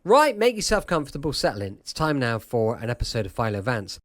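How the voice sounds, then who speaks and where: A male radio host gives conversational introductions. His medium-pitched voice moves in a lively, varied way. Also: fairly quick.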